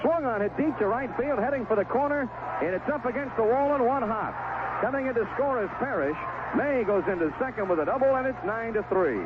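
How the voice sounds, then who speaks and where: A man giving live 1970s radio play-by-play of a baseball game, describing each pitch in short, clipped phrases. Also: animated, a little fast. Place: outdoor ballpark broadcast booth. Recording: noisy, tinny narrowband vintage radio broadcast.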